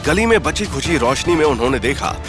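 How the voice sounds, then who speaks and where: A man's voice: on the high side, very animated, a little fast, music in the background. A man narrating a scripted audio story in a suspenseful, theatrical style.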